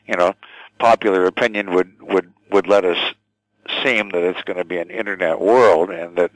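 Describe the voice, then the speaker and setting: An older man answering interview questions thoughtfully and haltingly, often repeating words as he goes. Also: deep voice, no echo, rough recording. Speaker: radio station general manager, interview guest.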